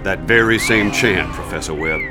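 An actor playing a grim, commanding police inspector who recounts a raid in a radio drama. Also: male voice, deep voice, normal speed, close to an everyday voice. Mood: grave, haunted. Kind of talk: radio drama acting with narration. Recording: music under the voice.

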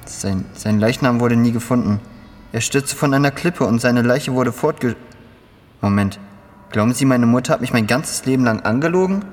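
A man's voice: mid-range voice, moderate pace, lightly acted. An actor in a German audio drama playing a bewildered, anxious father who questions urgently and stammers. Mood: confused, upset. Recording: long reverb, faint noisy ambience.

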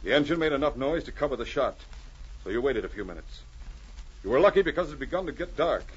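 An actor playing a resolute, shrewd police sergeant in a 1940s radio drama, with a measured, authoritative North American delivery. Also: male voice, mid-range voice, lively intonation, slightly rough. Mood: accusing, assured. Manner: measured, authoritative, deliberate explaining. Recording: muffled, noisy old radio transcription.

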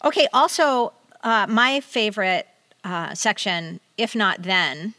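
A woman's voice: medium pitch, slow, pushed and bright, no echo. A middle-aged American woman co-hosting a casual podcast, chatty and wry as she comments and reads bits aloud. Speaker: podcast co-host. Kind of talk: casual chat with read-aloud magazine excerpts.

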